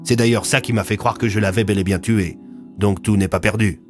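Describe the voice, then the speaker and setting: A man's voice: on the low side, fairly even intonation, fairly quick, close to an everyday voice. Man playing a firm, earnest drama hero. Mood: explanatory. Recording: music under the voice.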